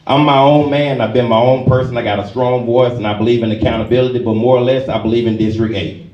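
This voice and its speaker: A man's voice: mid-range voice, steady tone, quick pace, lo-fi sound. A man speaking firmly as a candidate at a public forum. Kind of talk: forum answer. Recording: echoey hall from far off.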